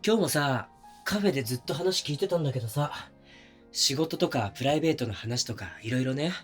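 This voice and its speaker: A man's voice: mid-range voice, animated, brisk, natural voice. Man acting casual young boyfriend over music. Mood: casual, oblivious. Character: young man, considerate to others, obliviously neglectful boyfriend. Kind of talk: intimate situation-voice audio drama, naturalistic one-sided dialogue.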